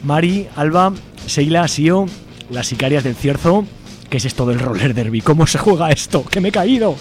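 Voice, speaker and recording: A man with a Spanish accent from Spain hosts a radio show in a playful, chatty way. His voice sits fairly high for a man, and light music plays behind him. His delivery is informal and colloquial. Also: animated, moderate pace. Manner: colloquial, jokey.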